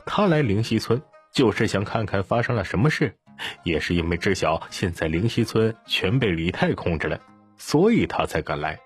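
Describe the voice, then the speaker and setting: A man's voice: fairly low pitch, slightly rough. A man reading an audiobook as narrator in a scripted, dramatic storytelling style.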